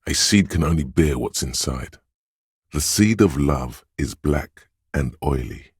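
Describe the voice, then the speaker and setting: A man voicing a suave, insinuating inner voice of persuasion in a video game, narrating in a measured, knowing, gently coaxing way. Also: lively intonation, no room sound, clean studio sound, heavily acted. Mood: knowing, confiding. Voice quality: very deep, husky. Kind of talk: scripted video game narration in second person.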